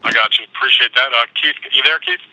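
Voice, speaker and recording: A man's voice: mid-range voice, fairly even intonation, fast, bright, forceful tone, low-quality recording. A man working as a sports reporter asks wordy, roundabout questions in a conversational way over a telephone conference line. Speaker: boxing reporter asking questions. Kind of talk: press conference call questioning.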